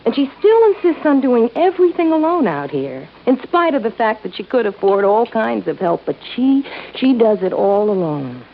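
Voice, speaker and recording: An actress playing an anxious, hesitant young woman in an old time radio drama, halting and earnest. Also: a little high, sing-song, vocal fry. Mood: worried, earnest. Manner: halting, earnest, breaking off mid-thought. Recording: dull, faintly hissy old radio recording.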